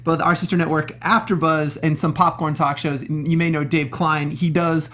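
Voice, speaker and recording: A man's voice: a little high, little pitch movement, moderate pace, a bit bright. A man chatting casually as co-host of a film review panel, using informal slang. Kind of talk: casual chat.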